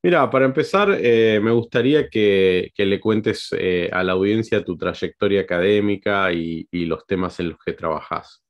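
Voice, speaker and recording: Man, argentine accent, interview host, very low voice, gravelly, halting with fillers. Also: lo-fi sound. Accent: Argentine Spanish. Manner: halting, frequent fillers and tag questions.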